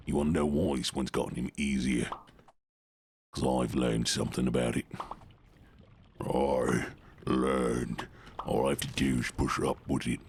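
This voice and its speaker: A deep, growling orc, as a man puts him on, gruff and gravelly. The voice sits very low and pushes the growl up into the throat. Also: a little hiss or hum.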